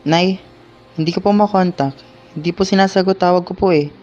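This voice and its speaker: A man's voice: sits high, a little fast, darkish tone, natural voice. Young man acting as reassuring teenage brother, polite, amateur radio play, dull lo-fi sound, music in background. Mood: reassuring, dutiful. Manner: polite, deferential to elders.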